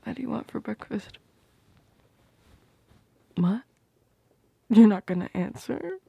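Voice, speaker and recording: A woman playing a tender, protective, teasing girlfriend in an ASMR roleplay, murmuring intimately in soft whispers close to the microphone. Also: a little high, takes it slowly, dry recording, muffled recording, heavily acted. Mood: playful, teasing. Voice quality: breathy, whispery.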